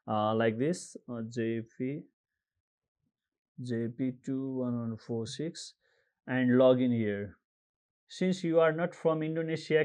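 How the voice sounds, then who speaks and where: A man from Nepal walking viewers through an online form step by step, pausing often with uh and um as he reads out codes. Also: medium pitch, a little mellow, clean tone. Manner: halting, frequent uh and um fillers, reads out codes slowly. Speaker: tutorial presenter. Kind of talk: screen-recorded how-to walkthrough.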